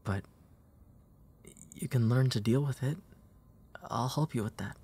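A man acts out a gentle big brother in an intimate comfort roleplay. His voice is husky and breathy at a middling pitch. He speaks tenderly and a little hesitantly, protective and soft, close to a whisper at times. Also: a little slow. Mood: reassuring, hesitant.

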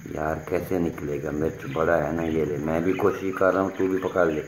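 A man's voice: extremely low pitch, level tone, brisk. A man chatting to his viewers while coaxing his pet birds in an outdoor yard, filmed on a handheld phone. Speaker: animal vlogger. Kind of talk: casual vlog narration to viewers. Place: outdoor yard by bird cages. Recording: roomy phone audio with loud yard noise.

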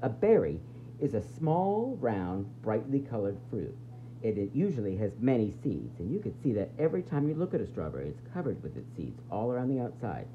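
Very low-voiced woman teacher, lively read-aloud. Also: brisk, muffled recording, a little hiss or hum. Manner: expressive, explanatory, questioning the listeners. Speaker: teacher reading to young pupils. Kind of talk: read-aloud of a children's nonfiction book with explanations.

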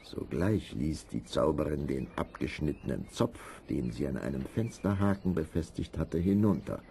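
Deep, gravelly male fairy-tale narrator. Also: animated, normal speed, warm tone, slight background noise. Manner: dramatic storytelling.

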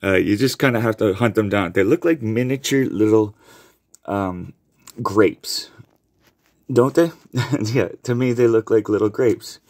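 A man's voice: lowish voice, measured pace, pauses often, a little mellow, no room sound. A man casually explaining his home worm bins while filming his hands, rambling with lots of ums and self-corrections. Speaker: home worm composting vlogger. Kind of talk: casual show-and-tell demonstration. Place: basement, indoors. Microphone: handheld camera mic, filming his own hands.

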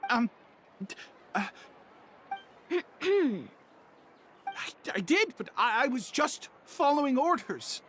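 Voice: high voice, sing-song, slow pace, pauses often, a bit bright, a little hiss or hum, heavily acted. An actor playing a nervous, cowed young male underling in a video game, hesitant and stammering. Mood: nervous, defensive. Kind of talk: video game character acting.

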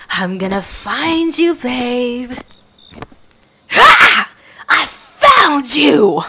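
A woman's voice: sits high, sing-song, very slow, creaky, long pauses, bright, forceful tone, slight background noise, full character voice. A woman voicing a possessive werewolf girlfriend who hunts her lover, teasing with whispers and laughs in an audio roleplay. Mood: taunting. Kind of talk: ASMR roleplay.

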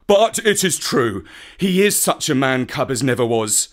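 A man's voice: medium pitch, sing-song, unhurried. An actor reading a storybook and voicing an old, good-natured male bear who teaches, earnest and a little flustered.

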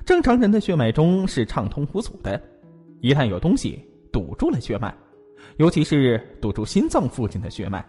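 An actor playing a glib court physician in an audio drama, explaining emphatically and deferentially. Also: male voice, medium pitch, wildly swooping pitch, moderate pace, dark tone, breathy edge. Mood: earnest, explaining. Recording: long hall reverb, music behind.

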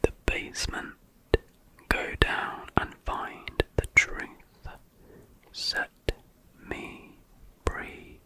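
Murdered wife's ghost in a mirror, raspy and broken, whispered very slowly, read by a woman. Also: sharp tone. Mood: eerie. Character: ghostly murdered wife trapped in a mirror, sad. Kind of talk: whispered horror storytelling.

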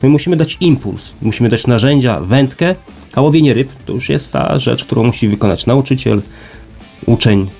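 A man's voice: mid-range voice, expressive, moderate pace, vocal fry, darkish tone, soft background music. A man speaking as an institute representative in an interview, explaining formally and emphatically with rhetorical repetition and lists.

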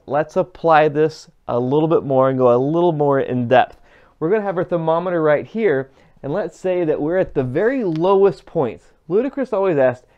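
A man's voice: fairly high pitch, darkish tone, smooth, muffled recording. A man in his forties giving a conversational, emphatic explanatory lesson as an instructor. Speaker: HVAC instructor. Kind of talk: whiteboard lesson, explanatory teaching. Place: small indoor room with whiteboard.

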